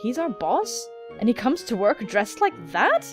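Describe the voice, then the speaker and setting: A woman's voice: fairly high pitch, big pitch swings, a little slow, music in the background. A woman voicing a reserved, wary male office newcomer in a game, guarded and questioning. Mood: incredulous.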